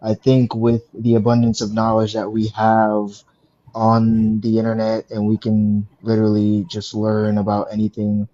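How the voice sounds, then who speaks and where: A man in his twenties calling into a live stream, laying out his argument slowly with frequent fillers. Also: lowish voice, monotone, warm tone. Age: 20s. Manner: measured, drawn-out argument with fillers. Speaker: caller. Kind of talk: debating on a call-in stream. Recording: dull lo-fi call audio.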